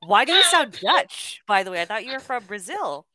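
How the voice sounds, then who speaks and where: A woman's voice: a little high, very animated, normal speed, bright, forceful tone, dry recording. A woman hosting a podcast, chatting casually and trailing off into fillers. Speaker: podcast host.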